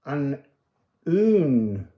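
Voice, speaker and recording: A man's voice: medium pitch, big pitch swings, very slow, roomy sound, dull audio. A man giving an unscripted spiritual card reading, musing and meandering as he drifts between thoughts. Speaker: oracle card reader and host.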